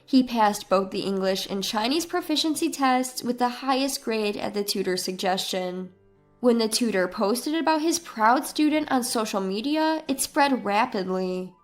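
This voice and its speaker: A woman's voice: fairly high pitch, expressive, normal speed, faint music behind. A woman reading a scripted first-person story as its narrator.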